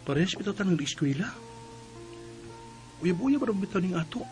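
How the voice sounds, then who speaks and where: Soft, pleading young Filipino suitor. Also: male voice, high voice, lively intonation, moderate pace. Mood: pleading, romantic. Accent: Cebuano Filipino. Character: earnest young male suitor, soft and persistent. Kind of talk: radio drama acting. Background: music.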